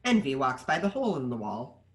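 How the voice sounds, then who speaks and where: A narrator reading stage directions in an animated way for a screenplay table read. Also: medium pitch, brisk.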